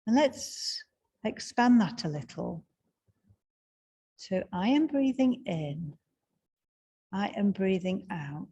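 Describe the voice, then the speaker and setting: A woman guiding a breathing meditation in a slow, soft and soothing way, with long pauses. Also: mid-range voice, animated, breathy edge. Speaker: meditation guide.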